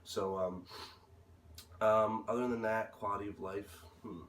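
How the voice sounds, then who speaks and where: Low-voiced young American man, hesitant, in a roomy space. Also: fairly even intonation, fairly bright tone. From United States. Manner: hesitant, halting, searching for words. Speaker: interviewee. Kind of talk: informal interview answers. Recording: roomy, distant mic, faint noise.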